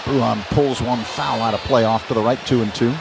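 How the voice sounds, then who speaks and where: A man's voice: mid-range voice, fairly even intonation, brisk, vocal fry. American guy doing baseball play by play on the radio, short clipped calls, noisy stadium behind him. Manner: clipped phrases, calling action as it happens. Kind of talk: live radio sports commentary. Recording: crowd ambience noise behind broadcast. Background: ballpark ambience noise.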